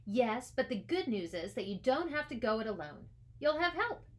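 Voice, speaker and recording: A woman voicing a brisk, encouraging schoolteacher in a storybook, addressing a class firmly and deliberately. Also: fairly high pitch, very animated, pitched above the performer's own voice. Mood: bright, brisk.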